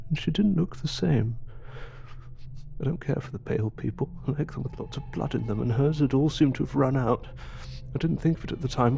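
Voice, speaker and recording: An actor playing an agitated, weakened madman with a gravelly voice and a halting, urgent manner in an audio drama. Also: a little high, dark tone, lo-fi sound. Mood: weary, brooding, then feverish, agitated.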